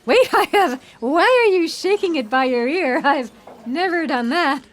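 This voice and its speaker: An actress playing an earnest, excitable and quirky paleontologist in comic audio theatre, eager and emphatic. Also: female voice, high-pitched, a little slow. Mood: emphatic, puzzled.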